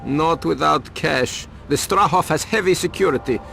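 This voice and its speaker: A man's voice: on the high side, normal speed, vocal fry, fairly bright tone. An actor playing a cagey, self-important, money-minded reporter turned informant in a video game, evasive as he talks. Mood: defensive, haggling. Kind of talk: video game cutscene acting.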